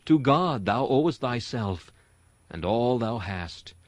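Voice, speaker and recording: A man reading an old-fashioned sermon aloud in a solemn, earnest, rhetorical way with deliberate emphasis. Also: mid-range voice, animated, no echo. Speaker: sermon reader.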